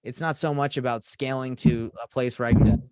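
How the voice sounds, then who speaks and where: A man's voice: mid-range voice, fairly even intonation, brisk, a little mellow, clean tone, dry recording. A young man in his twenties, a real estate coach being interviewed, talking earnestly with many restarts. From Atlanta, Georgia. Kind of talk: casual podcast interview.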